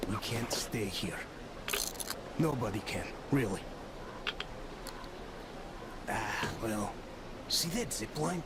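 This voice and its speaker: A man's voice: on the low side, animated, slow, long pauses. A man playing an urgent, plain-spoken soldier companion in a post-apocalyptic wasteland video game. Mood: grave, guiding. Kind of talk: dramatic game voice acting. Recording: loud rain and ambient noise behind.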